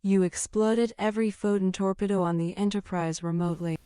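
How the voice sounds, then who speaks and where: An actress playing a wary, stern rebel starship commander, controlled and formal in an audio drama. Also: female voice, mid-range voice, steady tone, fairly quick, warm tone, slight background noise, lightly acted. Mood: stern, questioning. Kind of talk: dramatic audio comic acting.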